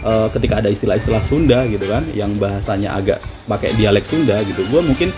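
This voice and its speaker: A man's voice: lowish voice, fast talker. A man with a Javanese accent, a travel vlogger, talking casually and self-deprecatingly to the camera. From Java. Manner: chatty, self-deprecating, slangy. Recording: music playing underneath.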